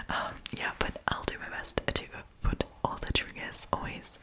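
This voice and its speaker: A woman speaking in a breathy whisper as an ASMR storytime host, chatting casually and giving her opinions. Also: a little slow. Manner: informal, conversational, opinionated.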